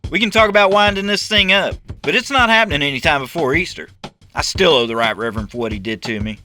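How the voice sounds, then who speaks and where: A man's voice: fairly high pitch, expressive, quick pace, pushed and bright, faint music behind, voice pushed up. A man voicing a brash, pushy conman who poses as a preacher, cocky and commanding in an audiobook story. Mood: firm, cajoling.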